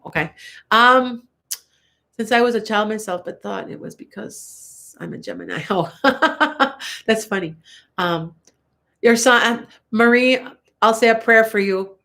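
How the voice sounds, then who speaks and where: An older woman with a Chicago accent gives a live tarot reading from home. She talks in a loose, rambling, chatty way and laughs often. Her voice sounds a little congested, with an occasional cough, at a middle pitch. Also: fairly bright tone. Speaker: tarot reader. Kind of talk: live-stream tarot reading answering viewer comments.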